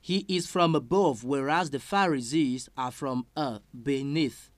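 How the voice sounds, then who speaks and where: Male church interpreter, slow and deliberate, slight stumbles, dry recording. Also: mid-range voice, dry recording. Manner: slow, careful, with small slips and fillers. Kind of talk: consecutive sermon interpreting.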